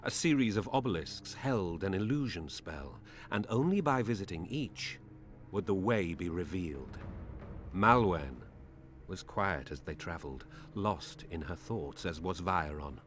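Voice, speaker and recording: A man's voice: sits low, expressive, measured pace, faint music behind. A man playing a solemn storyteller, reading dramatic scripted narration for a fantasy video game. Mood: hushed storytelling.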